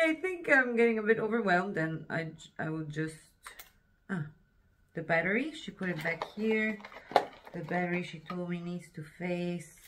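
A woman chatting casually to her camera in a mall, excitable and laughing at herself. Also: deep voice. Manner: chatty, excitable, self-mocking. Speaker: vlogger. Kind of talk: casual shopping vlog. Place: shopping mall. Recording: noisy mall background.

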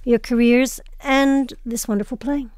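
A woman's voice: a little high, steady tone, measured pace. A woman hosting, presenting from a script and interviewing guests. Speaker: host.

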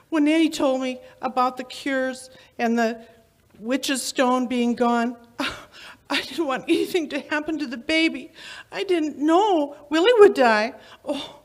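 A woman playing a frightened, remorseful young mother pleading tearfully in 1940s radio melodrama. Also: high voice.

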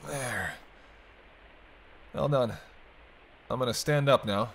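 Guy acting as a teasing male vampire, wry banter, kinda protective, talking right to you in a roleplay audio, medium pitch. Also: takes it slowly, gravelly, a bit bright, husky, full character voice. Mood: gentle, guiding. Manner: bantering, wry, murmured asides. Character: teasing, sardonic male vampire, centuries-weary but protective. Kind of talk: intimate roleplay audio drama, addressing the listener.

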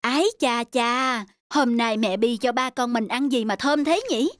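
A man playing a gentle, patient father who explains things to his small son in a cartoon. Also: extremely high pitch, a little slow, faint music behind. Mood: cheerful. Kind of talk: cartoon dialogue.

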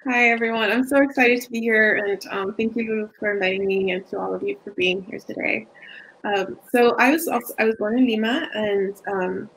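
A woman's voice: medium pitch, steady tone, moderate pace, creaky, fairly bright tone, slight background noise, low-quality recording. A woman author on a video call, eager and chatty with frequent fillers. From United States, from age four.

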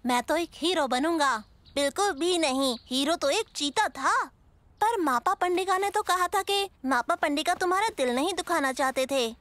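A woman voicing an eager, boastful young male animal cub in a dubbed cartoon, childlike and excited. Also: moderate pace, no echo. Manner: excited, high-pitched childlike voice.

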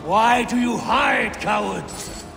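A gravelly, defiant Viking warrior. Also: female voice, fairly high pitch, slow pace. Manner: defiant, blunt, shouting threats. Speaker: game hero. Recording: echoey game audio with music.

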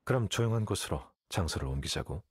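An actor playing an aloof, calculating young scholar in a video game, speaking in a detached, measured, condescending way. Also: male voice, sits low, expressive, slightly rough, full character voice. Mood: cool, bargaining. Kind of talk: video game character dialogue. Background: game music.

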